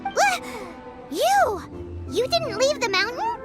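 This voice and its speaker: A woman voicing a tiny, cheeky fairy-like girl companion who chatters in a childlike, excitable way. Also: very high-pitched, takes it slowly, heavily acted. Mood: indignant. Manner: excitable, childlike, refers to herself by name. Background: game music.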